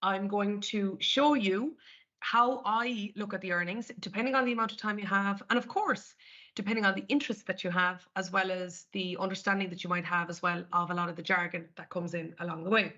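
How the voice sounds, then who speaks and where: A woman's voice: medium pitch, steady tone, fairly quick, sharp tone. A woman with an Irish accent presenting a live market webinar, chatting her way through each screen step by step. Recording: dull lo-fi webinar audio.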